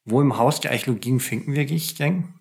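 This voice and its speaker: A man hosting a podcast, asking a guest set questions in a plain, measured way. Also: medium pitch, vocal fry, darkish tone. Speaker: podcast host. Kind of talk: scripted interview questions.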